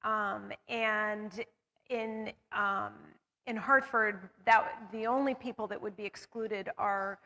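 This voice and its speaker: A woman's voice: mid-range voice, fairly even intonation, slow, pushed and bright, big-room sound. A woman on a discussion panel, talking steadily and carefully, correcting herself as she goes through figures. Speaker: panelist on local voting data.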